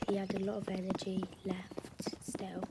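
Very noisy audio with clicks carries a woman's soft, breathy voice. She talks slowly at an ordinary pitch, hesitating between short phrases as she narrates a casual vlog recap. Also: monotone. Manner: halting, hesitant, trailing off. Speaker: vlogger narrating her own horse riding.

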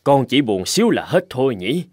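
A man voicing a gentle, reassuring father in a dubbed cartoon. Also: mid-range voice, big pitch swings, moderate pace, a little hiss or hum, natural voice. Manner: warm, kindly, reassuring. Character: gentle, hardworking young father. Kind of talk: cartoon dubbing.

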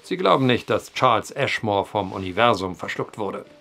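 Wry storytelling journalist, lively intonation. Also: male voice, on the low side, moderate pace, gravelly, full character voice. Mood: wry, probing. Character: wry male journalist telling an eerie old tale. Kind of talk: German audio drama acting, storytelling.

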